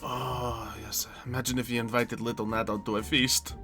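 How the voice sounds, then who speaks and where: A man's voice: mid-range voice, flat delivery, moderate pace, bright, forceful tone, faint music behind, close to an everyday voice. A man playing an eager, childlike prankster at a demigod camp in improvised Minecraft roleplay, chatting and rambling playfully.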